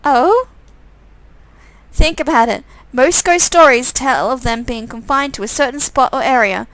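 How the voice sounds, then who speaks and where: Curious, talkative young female visual novel character, played by a woman, high voice, dry sound, theatrical conversational delivery. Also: measured pace, a bit bright, dry recording, rough recording. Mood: keen.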